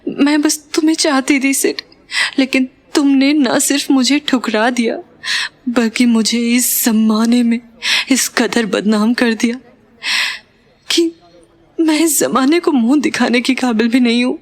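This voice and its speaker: An actress playing an earnest, anxious young woman in an audio drama, with emotional, expressive delivery. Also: on the high side, unhurried, close to an everyday voice. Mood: anguished pleading. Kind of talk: Hindi audio drama acting.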